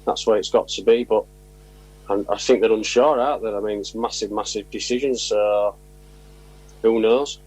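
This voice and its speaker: Husky man, lowish voice. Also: fairly even intonation, moderate pace, a little mellow, low-quality recording. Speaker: football coach being interviewed. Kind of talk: casual interview chat.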